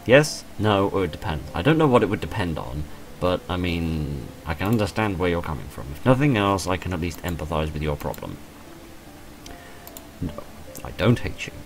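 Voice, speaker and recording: A man's voice: low voice, sing-song, normal speed, slight background noise. A man voicing a blunt, questioning but forgiving young woman in a visual novel. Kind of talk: visual novel character reading.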